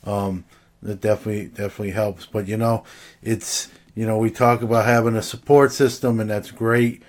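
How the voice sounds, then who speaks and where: Low-voiced reflective man, hesitant. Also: flat delivery, brisk. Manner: hesitant, full of fillers, reflective. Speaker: guest. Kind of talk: casual chat.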